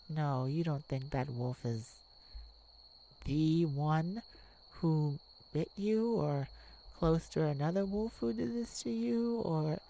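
A woman playing a tenderhearted warrior mage in a soft ASMR romantic roleplay, speaking in a hushed, soothing, halting way. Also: low voice, creaky, a little hiss or hum, heavily acted, rough recording. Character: tenderhearted young warrior mage comforting a werewolf lover. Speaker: audio roleplay performer.

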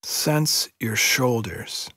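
Casting: male yoga nidra guide, very slow delivery, soft husky tone, soothing and unhurried, close dry sound. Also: mid-range voice, expressive, dry recording. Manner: slow, hushed, soothing, measured instruction with long pauses. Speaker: meditation guide.

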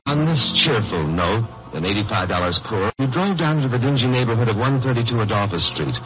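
An American actor playing a wry, hard-boiled lawyer-sleuth, sardonic and tongue-in-cheek, in a 1950s radio crime drama. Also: male voice, medium pitch, expressive, a little fast, over loud music, close to an everyday voice. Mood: sardonic narrating. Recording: muffled, noisy 1950s radio recording.

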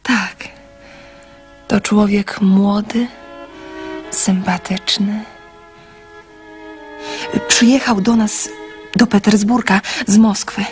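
A woman's voice: mid-range voice, steady tone, extremely slow, long pauses, darkish tone, faint music behind. An actress playing a trusting, sensitive seventeen-year-old girl in a radio drama, speaking in an earnest, confiding way. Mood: candid, confiding.

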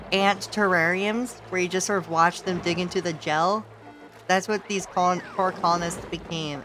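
A woman streaming a game with casual, playful commentary, laughing often, her voice a little hoarse and sore. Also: fairly low pitch, background noise. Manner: giggly, playful, exclaims at the game.

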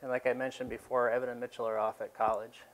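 A man's voice: medium pitch, little pitch movement, fast. A dairy farmer in his fifties giving a casual talk to a seated audience in a large exhibition hall, rambling with fillers and restarts.

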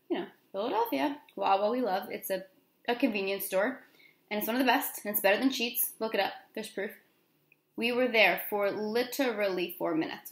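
A middle-aged American woman talking casually to camera in a conversational vlog style, with informal asides, recorded in a room at home. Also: mid-range voice. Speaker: vlogger. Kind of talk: casual vlog recounting from notes. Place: small room at home, indoors. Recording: echoey room.

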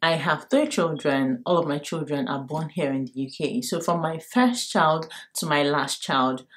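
A woman's voice: deep voice, moderate pace. A young Nigerian woman giving casual advice to camera, direct and emphatic, addressing viewers familiarly. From Lagos, Nigeria. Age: young adult. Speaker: vlogger. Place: bedroom at home.

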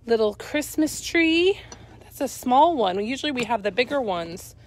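Midwestern woman, slightly high voice, chatty live-stream auction host, phone mic in a warehouse. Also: animated, normal speed. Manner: upbeat, chatty, talking to live viewers while walking. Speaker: auction company staff. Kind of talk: live walkthrough of auction lots. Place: cluttered warehouse, indoors. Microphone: handheld phone camera.

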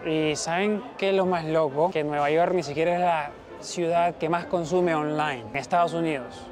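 A young man with a Peruvian Spanish accent, chatting lively and directly to his viewers in a casual vlog. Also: fairly high pitch, normal speed, music in the background. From Peru. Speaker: travel vlogger. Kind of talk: casual vlog talk to camera.

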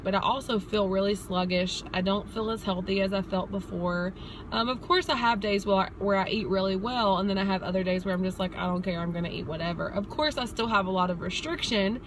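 A woman's voice: medium pitch, rough recording. A woman, talking earnestly and reflectively in a casual personal vlog from inside a car. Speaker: vlogger. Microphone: phone or camera at arm's length. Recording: clear steady car ambience noise.

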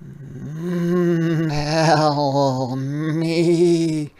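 A man's voice: a little high, fairly even intonation, drawn-out words, creaky, warm tone, voice pushed up, exaggerated voice. A man voicing questioning jungle animals in a storybook read-aloud, stretching the words with exaggeration. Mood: probing.

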